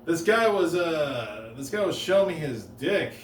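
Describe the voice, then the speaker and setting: A man doing deadpan one-liner stand-up comedy on a stage, halting and restarting between jokes. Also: fairly high pitch, a little hiss or hum. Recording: roomy live room, heard from a distance.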